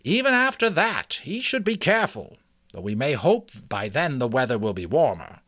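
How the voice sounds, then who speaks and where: A man's voice: medium pitch, big pitch swings, moderate pace, a bit bright, no room sound, studio quality, voice pushed up. A man voicing a very old, wise mouse healer in a storybook reading, speaking precisely and emphatically like an authoritative doctor. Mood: firm, cautioning. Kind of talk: dramatic storybook character reading.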